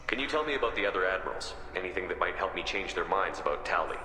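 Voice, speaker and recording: An actor playing a calm, level-headed military commander in a video game, direct and diplomatic. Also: male voice, deep voice, quick pace. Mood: calm, inquiring. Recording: echoey hall, music behind.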